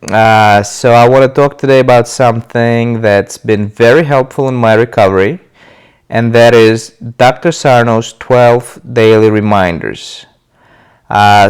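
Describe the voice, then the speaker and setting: A man's voice: on the low side, clear, resonant tone. A man with a stuffy voice from a cold, talking casually to the camera and hosting his own channel about recovery. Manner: relaxed, loose, with many uh fillers and restarts. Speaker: video host sharing his recovery.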